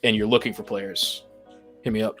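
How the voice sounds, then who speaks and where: A man's voice: fairly low pitch, little pitch movement, moderate pace, a bit bright, soft background music. A talkative man in a casual group chat, stammering and repeating the starts of his phrases. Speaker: tabletop game master, podcast guest.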